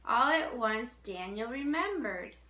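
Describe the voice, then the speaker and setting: A woman reading a story aloud as narration, deliberately, with small stumbles over the text, in a roomy, echoing recording. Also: on the high side, lively intonation, bright, forceful tone.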